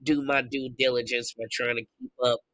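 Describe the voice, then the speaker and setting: A man hosting a podcast, congested from a recent illness, venting his opinions in a loose, profane, animated way. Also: medium pitch, a little fast, dry recording. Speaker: podcast host. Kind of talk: casual opinion commentary.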